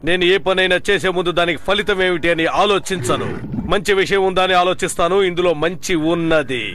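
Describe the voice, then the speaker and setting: A man's voice: sits high, fairly quick, background noise, lightly acted. A man voicing a stubborn, dismissive adult in a dubbed cartoon, defiant and condescending. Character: stubborn, dismissive adult digger chasing a great prize. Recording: music behind the voice.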